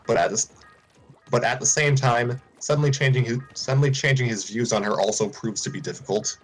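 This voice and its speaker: A man's voice: mid-range voice, fairly even intonation, fairly quick, faint music behind, rough recording. A man reading a fan story aloud, often stumbling and restarting lines.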